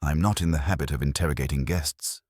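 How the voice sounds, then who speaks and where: A man's voice: very deep voice, steady tone, quick pace, a little mellow, breathy edge, no echo, clean studio sound. A man voicing a prim, sniffy, guarded English landlady who talks in clipped fragments in a mystery story. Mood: sniffy, haughty. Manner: clipped, terse fragments, haughty. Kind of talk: dramatised mystery audiobook.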